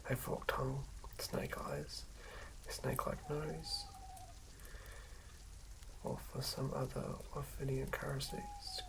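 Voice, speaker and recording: A person whispering softly and haltingly in an unhurried ASMR style, as a host talking through and reading tabletop game material. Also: medium pitch, huge pitch swings. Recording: lo-fi whisper with clear background noise.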